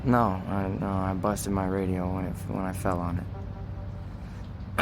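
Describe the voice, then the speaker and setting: An actor playing a wounded, polite and earnest police officer in a radio drama, speaking haltingly and weakly. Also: male voice, deep voice, fairly even intonation, noisy background. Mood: puzzled. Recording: radio drama with music underneath.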